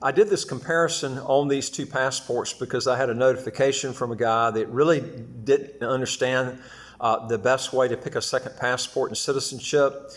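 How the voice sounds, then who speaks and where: A man giving an informal explanatory talk to viewers as an advice host, halting and often repeating or stumbling over his phrases. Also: medium pitch, steady tone. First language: English. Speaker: host of a second-passport advice channel.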